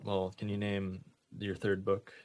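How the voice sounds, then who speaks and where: Low-voiced man hosting comedy radio. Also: monotone, a little slow, darkish tone, no room sound. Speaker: radio show host. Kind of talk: casual comedy banter on college-style radio. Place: small radio studio.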